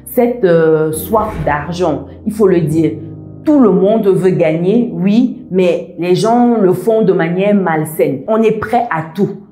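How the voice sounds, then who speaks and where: A woman vlogger speaking with a diaspora accent, explaining things in a measured, methodical way, with many hesitant pauses. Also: on the low side, warm tone, roomy sound, faint music behind. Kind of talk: explaining to camera.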